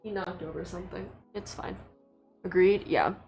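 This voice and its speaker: A young woman from China, a native Mandarin speaker, chatting casually with viewers on a livestream in a relaxed, rambling way full of fillers. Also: fairly low pitch, normal speed, gravelly, faint music behind, low-quality recording. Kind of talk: casual live-stream chat with viewers.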